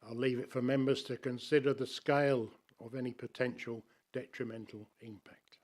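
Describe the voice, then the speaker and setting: A man, a councillor, reads a prepared objection to a planning committee in a measured, formal way with dry asides, in a council chamber. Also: mid-range voice, fairly even intonation, darkish tone. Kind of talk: reading a prepared statement to a committee.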